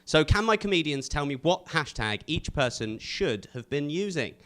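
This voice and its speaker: Animated man hosting a comedy show. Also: fairly high pitch, moderate pace. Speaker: host of a comedy panel show. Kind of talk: scripted stand-up style jokes to a live audience.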